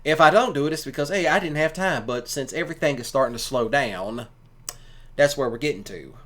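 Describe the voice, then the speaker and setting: A man's voice: medium pitch, expressive, brisk, a bit bright. A man giving casual, rambling commentary in a folksy, colloquial way, like a streamer talking to listeners. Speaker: streamer and ASMR audio creator.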